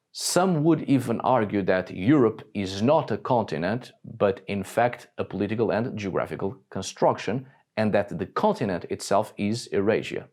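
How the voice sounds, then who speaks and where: A man's voice: fairly low pitch, a little mellow. A man from Portugal giving a blunt, scripted video monologue, swearing now and then. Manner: blunt, swears when annoyed. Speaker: video essayist.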